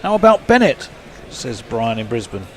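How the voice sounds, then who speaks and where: Lively southern English man hosting cricket chat. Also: medium pitch, normal speed. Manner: lively, chuckling, reads out listener messages. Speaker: cricket commentary host. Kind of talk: casual commentary chat, reading listener messages.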